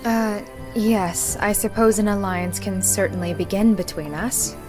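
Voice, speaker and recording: Young female royal deputy, acted by a woman: composed, formal, mid-pitched, game dialogue over faint music. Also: steady tone, close to an everyday voice. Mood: diplomatic. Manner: formal, measured. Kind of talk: visual novel character acting.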